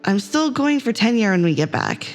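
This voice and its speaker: A woman's voice: mid-range voice, big pitch swings, normal speed, faint music behind. A woman playing an anxious, headstrong academic on a space crew in an audio drama, sweary and argumentative. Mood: playful, wry.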